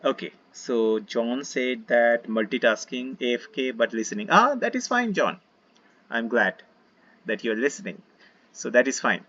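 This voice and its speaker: Man, Indian accent, gentle meditation teacher, husky tone, muffled voice-chat sound. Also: mid-range voice, a little slow. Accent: Indian English. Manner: relaxed, gentle, many fillers, invites listeners to join in. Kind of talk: casual online session talk.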